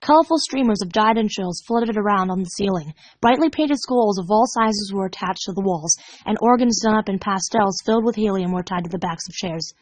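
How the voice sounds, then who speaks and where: A woman's voice: medium pitch, a little fast, few pauses, dry recording. A woman narrating fan fiction in a dramatic storytelling style.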